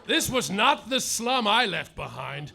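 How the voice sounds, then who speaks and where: A man playing a boozy, hard-boiled male private eye, narrating in a mock-noir deadpan that drags out its punchlines, live on a stage over music. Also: sits high, measured pace, creaky. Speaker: comedic detective narrator. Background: live music and audience laughter.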